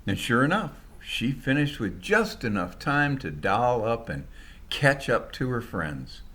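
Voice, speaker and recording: A man's voice: mid-range voice, big pitch swings, unhurried, fairly bright tone. A man narrating a scripted short story as its author, in a colloquial, dramatized storytelling style.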